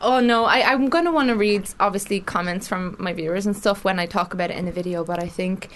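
An Irish woman sits in a radio studio as a guest, talking at an ordinary middle pitch. She chats freely, giggles often and scatters "like" through her sentences. She is close on a studio microphone. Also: fast talker, noisy background. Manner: giggly, chatty, peppered with "like". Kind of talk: casual chat.

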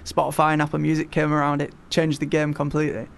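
A young man from Yorkshire with a Yorkshire accent, a singer-songwriter chatting as an interview guest, halting and full of fillers and restarts. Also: fairly high pitch, completely flat delivery, creaky. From Yorkshire, England. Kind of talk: casual interview chat.

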